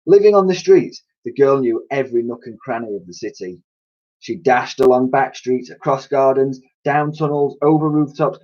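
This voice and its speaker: A man's voice: medium pitch, a little mellow. Male teacher reading aloud, slightly dull audio. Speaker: primary school teacher reading to pupils. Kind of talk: expressive children's storybook narration.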